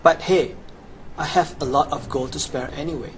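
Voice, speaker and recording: A man's voice: fairly high pitch, moderate pace. A young adult man giving a scripted promotional voice-over as a gamer presenting his guide. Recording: clearly noisy home recording.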